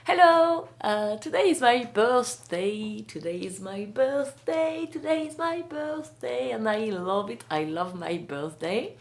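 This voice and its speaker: A young woman with a French accent, talking casually and hesitantly to camera in a vlog, with many pauses and restarts. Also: a little high, animated. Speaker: vlogger, magazine publisher.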